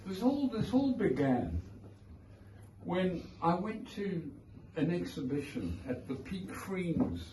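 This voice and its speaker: A man with a South African accent speaks at a gallery launch, drifting from one wry anecdote to the next. His voice is a little high and swings freely in pitch at an unhurried pace. He is picked up from a distance in an echoey, noisy room. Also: huge pitch swings, mellow. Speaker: author giving a launch talk. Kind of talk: informal speech to a crowd. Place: art gallery, indoors.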